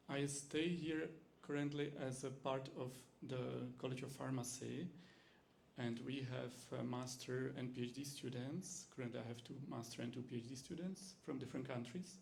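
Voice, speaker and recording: A man with a Czech accent gives a lecture demonstration, his voice gravelly and low-edged with fry. He speaks at a middle pitch, stopping and restarting often as he works through English, and a faint hiss sits under the recording. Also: steady tone, darkish tone. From Czech Republic. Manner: halting, many fillers and restarts in second-language English. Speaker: university lecturer. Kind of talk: teaching demonstration talk.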